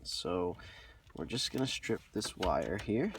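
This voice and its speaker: A man with a fairly low voice narrates a do-it-yourself tutorial. He talks casually and plainly, going step by step through what he is doing. The homemade recording has clear noise and some clicking behind him. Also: big pitch swings, takes it slowly, fairly bright tone. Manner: plainspoken, explaining each step as he works. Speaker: DIY tutorial host. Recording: clearly noisy hobby video with clicks.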